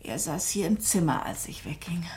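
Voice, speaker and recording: A woman's voice: fairly low pitch, expressive, moderate pace, darkish tone, in a whisper, close to an everyday voice. Composed, direct woman played by an actress in radio drama. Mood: reasoning, defending. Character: composed, direct woman crime novelist, sceptical and observant. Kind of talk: German radio drama dialogue.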